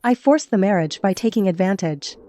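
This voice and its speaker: A woman playing a resolute young noblewoman who testifies formally and deliberately in a costume court drama. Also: medium pitch, lively intonation, warm tone, no room sound, soft background music, close to an everyday voice. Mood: composed, resolute.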